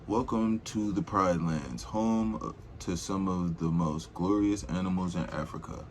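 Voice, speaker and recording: A man's voice: low voice, unhurried, a little hiss or hum. A man reading a children's storybook aloud in an informal, rambling way, with casual slangy asides.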